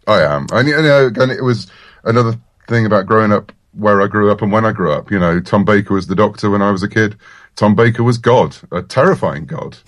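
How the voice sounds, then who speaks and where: A man's voice: lowish voice, brisk, dry recording, studio quality. A middle-aged British man speaking as a writer guest in a casual, chatty podcast interview.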